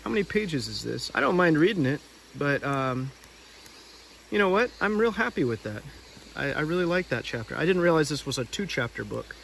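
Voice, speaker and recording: Animated, higher-voiced man reading a storybook. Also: brisk, gravelly, slight background noise, poor audio. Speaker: storybook reader and video host. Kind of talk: animated read-aloud with casual asides. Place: outdoors by a river.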